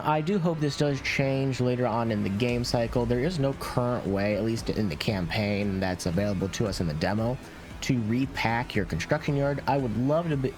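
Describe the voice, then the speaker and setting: A man talks through a video game in a casual, informal way, offering his opinions as he plays. His pitch sits in the middle of the male range, and game music plays clearly behind him. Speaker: gaming video creator.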